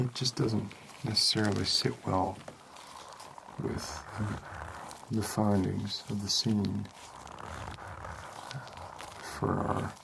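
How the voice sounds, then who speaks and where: A man plays a weary homicide detective in a hushed ASMR monologue. His voice is low and gravelly, and he rambles in a deadpan way. There is clear noise behind him. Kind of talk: slow ASMR roleplay monologue.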